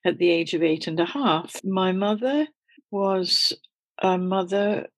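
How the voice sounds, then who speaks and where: A woman's voice: lowish voice, fairly even intonation, takes it slowly, dry recording. An older woman from Scotland, answering interview questions in a candid, reflective way and laughing often as she talks. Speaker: guest.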